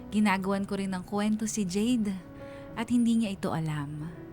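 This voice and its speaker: A woman's voice: mid-range voice, lightly acted. A woman playing a heartfelt young writer telling her own love story as radio drama narration. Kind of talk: first-person radio drama narration, letter to DJ.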